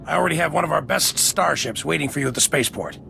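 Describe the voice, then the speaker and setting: An actor playing a resolute military general in a video game, grave and commanding. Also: male voice, fairly high pitch, expressive, fast, creaky, noisy background. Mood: solemn, resolute. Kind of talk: video game character acting. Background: music.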